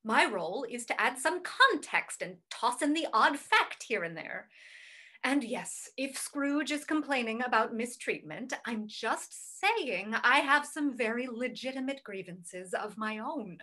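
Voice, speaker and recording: An actress playing a wronged wife who voices her grievances in a measured, bitter way. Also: fairly high pitch, expressive, bright, forceful tone, heavily acted. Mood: aggrieved. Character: aggrieved wife of a famous author.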